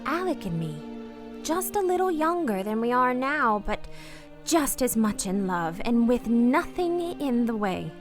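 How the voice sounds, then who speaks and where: A woman's voice: fairly high pitch, very animated, unhurried, soft background music. An actress playing a wistful, restrained married woman torn by forbidden love in a radio play, speaking earnestly and hesitantly. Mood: dreamy, wistful. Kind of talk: radio play acting with first-person narration.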